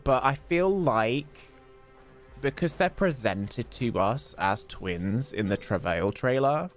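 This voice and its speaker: A man's voice: medium pitch, lively intonation, takes it slowly, soft background music. A man streaming a game, chatting casually and rambling while answering chat questions. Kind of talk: casual gameplay commentary.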